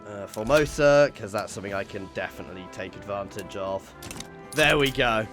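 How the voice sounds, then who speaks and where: A man's voice: on the low side, moderate pace, fairly bright tone, soft background music. A man giving casual let's play commentary on a strategy game, thinking aloud with playful exclamations. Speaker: gaming video host.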